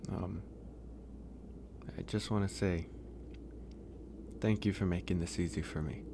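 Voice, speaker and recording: A man acting a gentle, flirtatious, lonely werewolf in a soft-spoken ASMR romance roleplay. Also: deep voice, fairly even intonation, vocal fry, noisy background. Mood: grateful, caring. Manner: soft-spoken, unhurried, reassuring.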